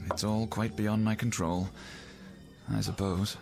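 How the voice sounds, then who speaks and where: A wry newspaperman in a vintage TV drama. His lowish voice moves slowly, arch and mock-humble, with faint music behind. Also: little pitch movement, vocal fry, darkish tone. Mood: wry, tipsy. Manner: arch, mock-formal, hesitant. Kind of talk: mid-century television drama acting.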